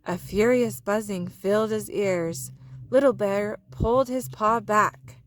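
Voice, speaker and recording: A woman narrating a children's picture book aloud in an expressive storytelling style. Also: mid-range voice, slow pace, slight background noise.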